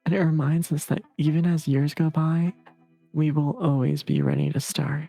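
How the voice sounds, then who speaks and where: A woman's voice: sits low, lively intonation, normal speed, vocal fry, warm tone, no room sound, faint music behind. A woman streamer chatting casually and reading game text aloud on a livestream.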